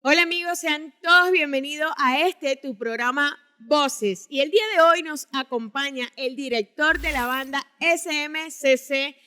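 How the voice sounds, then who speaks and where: A woman's voice: high-pitched, measured pace, hardly any pauses, pushed and bright. A woman hosting an interview program, presenting and putting questions to a guest. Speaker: program co-host. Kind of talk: scripted show introduction and interview.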